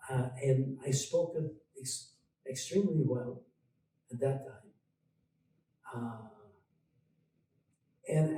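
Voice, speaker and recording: An older man, a guest cantor, reminiscing in a halting, gentle way with many restarts, heard on an online call. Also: mid-range voice, echoey room, far from the mic, low-quality recording. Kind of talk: casual reminiscing conversation.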